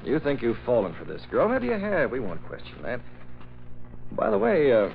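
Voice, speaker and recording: Fatherly, shrewd boss, measured and wry, muffled vintage radio with faint noise. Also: mid-range voice, wildly swooping pitch, creaky. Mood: calm, fatherly. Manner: measured, gently reasoning, wry. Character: calm, fatherly, shrewd male newspaper chief. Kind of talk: 1940s radio drama acting. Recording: muffled, slightly noisy old radio recording.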